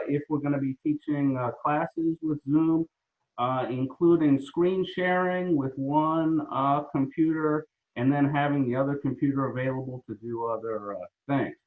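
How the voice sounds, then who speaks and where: A middle-aged law professor with a New York accent, talking casually on a video call, hesitant and full of fillers. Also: male voice, fairly high pitch. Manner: relaxed, hesitant with many fillers. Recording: phone-like video call audio.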